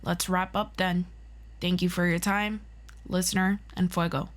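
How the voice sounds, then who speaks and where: A woman's voice: on the low side, level tone, measured pace, close to an everyday voice. A woman voicing a composed, authoritative interrogator from a hero agency council, formal and dry, in an audio roleplay. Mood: businesslike.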